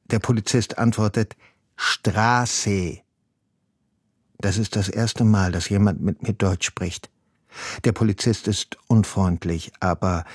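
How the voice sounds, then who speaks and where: A man reads a first-person story passage slowly and deliberately. His voice is lowish and husky, and the recording is dry and close. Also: darkish tone, no room sound. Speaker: reader. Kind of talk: first-person novel excerpt reading.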